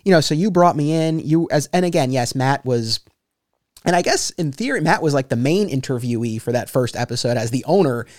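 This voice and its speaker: A man's voice: medium pitch, expressive, fairly quick, dry recording, clean studio sound. A man hosting a podcast, rambling a little with lots of fillers and restarts. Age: 30s. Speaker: podcast host. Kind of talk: casual interview chat.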